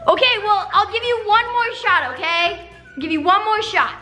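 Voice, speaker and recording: Young girl doing prank calls, super high voice, giggly and excitable, bit of music. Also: moderate pace. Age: teenager or young adult. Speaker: prank-calling video host. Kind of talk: playful prank phone calls.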